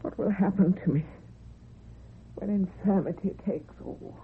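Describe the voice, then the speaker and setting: A woman's voice: mid-range voice, unhurried, close to an everyday voice. An actress playing a lonely, frightened, ageing woman in a theatrical, tremulous old-time radio horror style. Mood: despairing. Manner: theatrical, tremulous, talking to herself. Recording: dull vintage radio recording, faint hiss.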